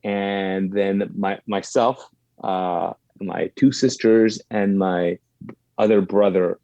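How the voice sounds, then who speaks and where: A man's voice: deep voice, level tone, slow, gravelly, mellow, no echo, highs cut off. A middle-aged man from Kuwait, a podcast guest telling personal stories conversationally, with asides and self-corrections.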